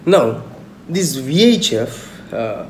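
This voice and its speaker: A young man lectures informally on a technical subject at a middling pitch. He explains haltingly, repeats his points and poses questions that he then answers. The sound is roomy and echoey, with noticeable background noise. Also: animated. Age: young adult. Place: small indoor room at home.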